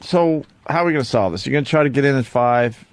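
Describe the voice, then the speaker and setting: Guy hosting radio banter, mid pitched voice, needles people and swears. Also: fast talker. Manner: needling, profane. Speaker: radio show host.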